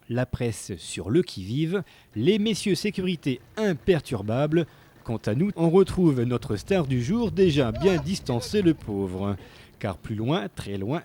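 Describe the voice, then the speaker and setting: A man's voice: on the high side, mellow, slight background noise. A man narrating a scripted local TV report in a playful, tongue-in-cheek manner.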